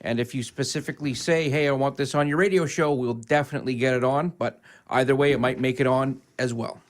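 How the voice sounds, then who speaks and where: A man's voice: mid-range voice, steady tone, fast talker. A man co-hosts a live radio show, giving announcements and trading banter. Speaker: radio co-host and show operator.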